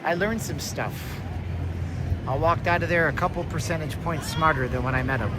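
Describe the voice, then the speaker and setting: A man's voice: a little high, moderate pace, heavy background noise. A man with a light Canadian accent, chatting to viewers in a rambling way as he walks city streets with his phone. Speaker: vlog host. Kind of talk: casual live-stream walking tour. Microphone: handheld phone.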